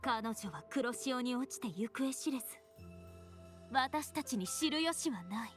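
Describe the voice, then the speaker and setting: A woman's voice: a little high, animated, a little fast, faint music behind, close to an everyday voice. An actress voicing a theatrical female bard who recounts heroic legends lyrically in a video game. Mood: dramatic narrating. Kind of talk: dramatic storytelling in a video game.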